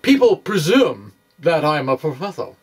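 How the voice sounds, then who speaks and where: A man giving an informal explanatory physics monologue in a halting, self-deprecating, conversational way. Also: fairly high pitch, sing-song, darkish tone, husky, far from the mic. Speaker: amateur physics video blogger.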